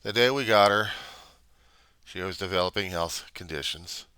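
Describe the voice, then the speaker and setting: A middle-aged American man giving a casual, rambling monologue, halting as he thinks aloud in plain words. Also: low-pitched, pushed and bright, smooth, dry recording. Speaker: vlogger.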